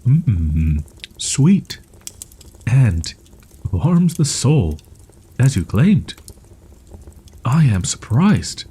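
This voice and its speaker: Young tsundere wolf-boy, played by a man, ASMR roleplay. Soft, dark, unhurried delivery; archaic wording, proud yet easily flustered and halting. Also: mid-range voice, big pitch swings, breathy edge, exaggerated voice. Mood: cautious, savoring. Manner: archaic formal diction, halting, flustered stammers. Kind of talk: audio roleplay, character acting, ASMR.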